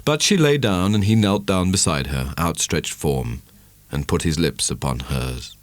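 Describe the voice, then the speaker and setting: A man narrating a literary audiobook in a measured, dramatic storytelling manner. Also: low voice, lively intonation, no echo.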